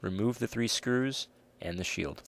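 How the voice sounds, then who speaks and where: A man's voice: mid-range voice, a little slow, gravelly, no echo. A man reads scripted step-by-step instructions as the narrator of a repair tutorial.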